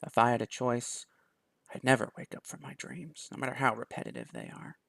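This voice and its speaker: A man reading a visual novel aloud in a quiet, even storytelling voice. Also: medium pitch, a little fast, creaky, no room sound, clean studio sound.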